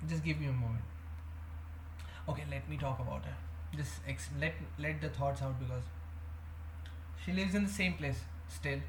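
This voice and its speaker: A man's voice: fairly high pitch, normal speed. A young man, talking hesitantly to the camera in a rambling confessional vlog, full of fillers and restarts. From India. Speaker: vlogger. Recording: echoey room with faint background hum.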